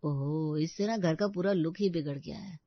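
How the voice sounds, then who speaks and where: A woman's voice: deep voice, normal speed, no echo. A woman playing a fussy, opinionated visiting neighbour in a family story, in a slightly dull recording. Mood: disapproving. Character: fussy visiting neighbour, critical of how things look.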